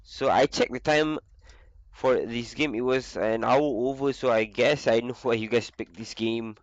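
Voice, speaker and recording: A young man with the flu, casually commentating a video game on his own, with a lot of filler pauses. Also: medium pitch, no echo, dull audio. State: sick with flu. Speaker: esports caster.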